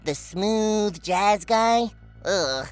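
A woman voicing a silly, naive, excitable childlike sidekick with a goofy, exaggerated manner in a children's audio comedy. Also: medium pitch, extremely slow, soft background music. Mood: goofy, casual.

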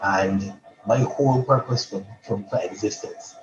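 A man from Barbados, a poet guest in a casual interview, talking haltingly with many restarts and repeated words. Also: on the low side, dull audio, music in the background.

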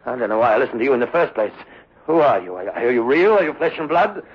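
A tormented young man stammers desperately in a breathy, husky voice that swings widely in pitch. The performance is overwrought old radio horror, with muffled, dull sound. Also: sing-song, fast. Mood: agitated, defiant. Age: 20s. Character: tormented, frightened young man pleading for his life. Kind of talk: 1960s radio horror acting.

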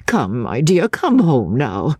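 A woman's voice: extremely low pitch, huge pitch swings, slow, a little mellow, husky, no room sound, exaggerated voice. A woman voicing a fearless, protective older countrywoman, forthright and motherly, in a dramatic audiobook reading. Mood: apologetic, motherly.

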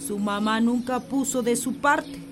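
A woman's voice: fairly high pitch, unhurried, over music, lightly acted. A woman playing an anxious village mother. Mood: worried. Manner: anxious, pleading. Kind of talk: radio drama acting.

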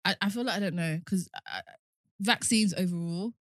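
A woman's voice: medium pitch, moderate pace, no room sound, clean studio sound. A woman in her twenties co-hosting a podcast, chatting informally and explaining things in a conversational way. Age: 20s. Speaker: podcast co-host. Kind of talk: casual group chat.

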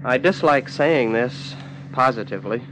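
An actor playing a well-mannered young millionaire heir in a 1950s radio drama, speaking formally and hesitantly with careful wording. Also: male voice, mid-range voice, normal speed, a bit bright, lightly acted. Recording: noisy old radio recording with hum.

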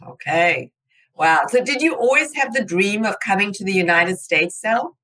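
Woman podcast host, bright tone, upbeat, encouraging, casual interview. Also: mid-range voice, expressive, normal speed.

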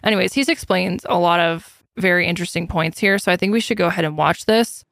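A woman's voice: on the low side, fast talker, gravelly, a bit bright, no room sound, clean studio sound. A woman hosting a podcast, talking casually and setting up clips for her co-hosts. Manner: chatty, conversational, sets up clips. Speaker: podcast host. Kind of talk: casual chat.

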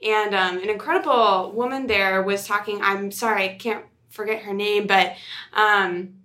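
Woman, bright forceful voice, medium pitch, chatty upbeat podcast co-host, casual delivery. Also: fairly even intonation, moderate pace.

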